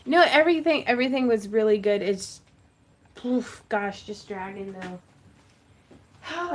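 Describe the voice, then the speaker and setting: A woman's voice: a little high, a little hiss or hum. A woman hosting a craft livestream, chatting casually and laughing often as she greets viewers. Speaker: craft channel host.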